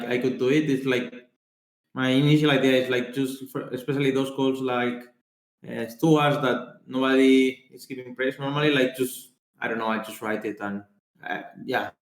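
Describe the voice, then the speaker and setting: Male, medium pitch, tentative and easygoing meeting talk. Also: echoey room, rough recording. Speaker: working group participant. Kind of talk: casual meeting discussion. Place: online group call.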